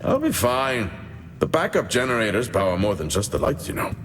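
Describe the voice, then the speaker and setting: An actor playing a tired, sardonic space engineer in a video game, talking in a dry mutter. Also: male voice, mid-range voice, big pitch swings, a little fast, faint music behind, natural voice. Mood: defensive banter. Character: wry, tired male space engineer exploring an abandoned mining base. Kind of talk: naturalistic video game acting.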